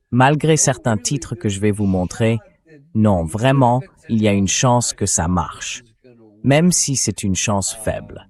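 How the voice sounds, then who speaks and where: Man, low voice, political show host, synthetic translated voice-over. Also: a little mellow.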